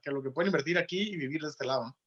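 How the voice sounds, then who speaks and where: A man's voice: fairly high pitch, rapid-fire, a bit bright, muffled recording. A man from Culiacán, hosting an interview and responding attentively and agreeably. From Culiacán, Mexico. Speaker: interview host. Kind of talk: conversational interview.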